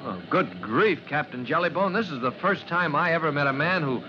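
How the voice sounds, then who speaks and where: Casting: man as plucky young rookie firefighter, high boyish voice, deferential, muffled old radio recording. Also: expressive, brisk, over music, natural voice. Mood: earnest, puzzled. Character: eager, earnest young fireman, boyish, respectful rookie. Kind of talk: old-time radio drama acting.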